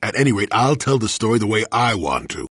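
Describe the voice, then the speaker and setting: Wanted: gruff elderly ex-warrior in a video game, deep and raspy, wry and rueful, with big dramatic swings. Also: male voice, sing-song, quick pace, a bit bright, no room sound, studio quality, heavily acted. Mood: crotchety, irritable. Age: older. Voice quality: gravelly, husky. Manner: wry, rambling, dramatic storyteller. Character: gruff, rueful, cantankerous old male former Jedi. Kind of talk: scripted video game voice acting.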